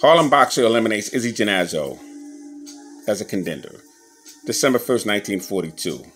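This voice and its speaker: Low-voiced man narrating a scrapbook. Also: expressive, fairly quick, a bit bright, faint music behind. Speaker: boxing memorabilia collector showing his scrapbooks on video. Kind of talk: casual show-and-tell commentary, reading out old newspaper clippings.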